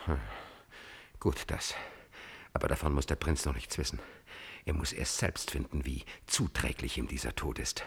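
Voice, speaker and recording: An actor playing a cunning, servile and coldly ambitious chamberlain in a classical drama, with an insinuating, theatrical delivery and a slightly husky voice. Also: male voice, a bass voice, normal speed, full character voice. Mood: secretive, calculating. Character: scheming male court chamberlain, servile, cunning, cold-bloodedly ambitious. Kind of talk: German classical stage drama acting.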